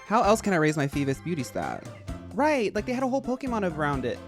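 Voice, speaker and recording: A man with a high, feminine-sounding voice, chatting casually and rambling to his live-stream audience while he plays. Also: very animated, fast talker, faint music behind. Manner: rambling, chatty, addresses chat as y'all. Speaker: game streamer.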